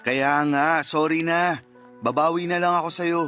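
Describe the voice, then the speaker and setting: A man's voice: fairly high pitch, steady tone, unhurried, bright, forceful tone, soft background music. A man in a radio drama playing a meek, apologetic young boyfriend who tries to placate his partner. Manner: meek, conciliatory. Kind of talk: Tagalog radio drama acting.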